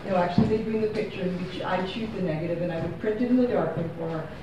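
A woman's voice: lowish voice, racing, heavy background noise. Woman, photographer, rambling, self-correcting, casual talk, very echoey room, far from the mic. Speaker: photographer giving a gallery talk. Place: small gallery room with seated audience. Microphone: far room camera, no microphone.

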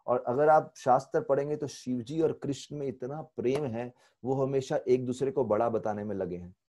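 Indian male spiritual teacher in his 40s, mellow tone, lively storyteller, mixes English into Hindi, earphone mic. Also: medium pitch, normal speed. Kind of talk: online spiritual lecture. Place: temple room indoors. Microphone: earphone headset mic on video call.

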